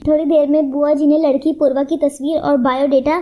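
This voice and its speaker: Female narrator, very high youthful pitch, soft mellow tone, scripted storytelling. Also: little pitch movement, a little fast.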